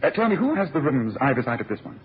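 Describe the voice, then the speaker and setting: A man acts out a shrewd gentleman detective for a radio play. He talks quickly and precisely, with lively swings of pitch and a commanding manner. The recording is dull with faint noise. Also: close to an everyday voice. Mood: brisk, commanding. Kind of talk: radio drama acting. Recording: muffled, slightly noisy old radio recording.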